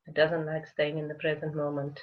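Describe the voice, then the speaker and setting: Soothing unhurried meditation guide, muffled. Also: medium pitch, monotone.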